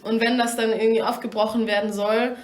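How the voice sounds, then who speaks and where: A woman speaking hesitantly in an interview, pausing to find her phrasing. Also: on the high side, flat delivery, echoey room. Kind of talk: reflective personal interview.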